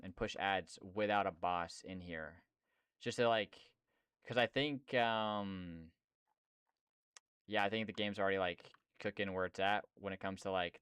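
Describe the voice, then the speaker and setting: A man's voice: lowish voice, fairly bright tone, clean tone, no echo. A young man streaming and chatting casually about game development, slangy and rambling with lots of fillers. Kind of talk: casual chat.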